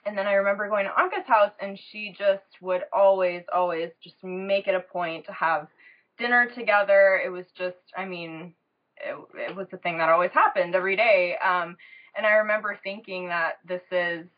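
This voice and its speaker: A woman answers casually as an interview guest on slightly muffled, lo-fi audio. Her delivery is hesitant and halting, with frequent pauses between short phrases. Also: medium pitch, steady tone. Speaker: podcast guest, small business co-founder.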